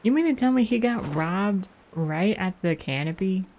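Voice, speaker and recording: A woman's voice: medium pitch, lively intonation, moderate pace, darkish tone, a little hiss or hum. A woman casually commenting as she live-streams a video game. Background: faint game music.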